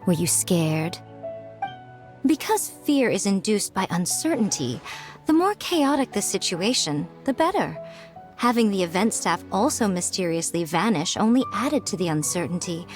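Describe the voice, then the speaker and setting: A woman's voice: medium pitch, big pitch swings, moderate pace, soft background music, close to an everyday voice. A woman voicing a powerful fox yokai priestess in a video game, composed, elegant and teasing. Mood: amused. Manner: composed, sly, elegant, self-assured.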